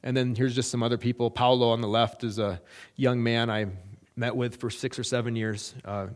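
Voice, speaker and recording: A middle-aged man speaks at a middle pitch as a missionary reporting back to a church. He talks earnestly and haltingly, often pausing on fillers and restarting phrases. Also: flat delivery. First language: English.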